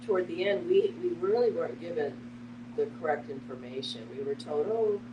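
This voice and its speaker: A middle-aged woman speaks from well back from the microphone in an echoey space with a clear hum. She is earnest and deliberate, stressing her points as she shares a personal account with a group. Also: deep voice, animated, lo-fi sound. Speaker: panel participant, family caregiver. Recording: echoey, distant mic, noisy hum.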